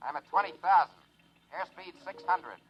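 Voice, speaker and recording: An actor playing a young test pilot in a 1950s radio drama, dry and wisecracking, reporting matter-of-factly from the cockpit. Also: male voice, high voice, normal speed, lo-fi sound, natural voice. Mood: focused. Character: wisecracking young male test pilot, steady under pressure.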